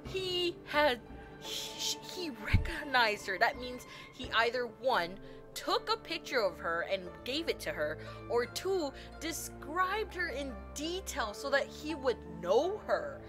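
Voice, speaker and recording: A young woman streaming a game, gushing and rambling like an excited fangirl. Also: high-pitched, slow. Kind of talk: excited live game commentary. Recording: game music playing under the voice. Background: game music.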